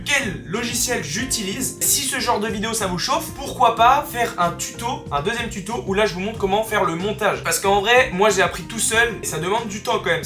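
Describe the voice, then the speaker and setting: A young man with a cold, talking viewers through a software tutorial in a chatty, joking way full of crude slang. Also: high voice. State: has a cold. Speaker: YouTuber teaching software. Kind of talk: casual screen-recorded tutorial. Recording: roomy room with background music.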